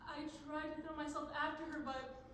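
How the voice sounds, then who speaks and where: A woman's voice: sits high, little pitch movement, a little fast, fairly bright tone. A young woman playing a protective, grieving caretaker of children in an amateur stage play, earnest and emphatic, recorded from a distance. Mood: grieving, resolute. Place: stage in a large room. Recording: echoing distant stage recording, faint noise.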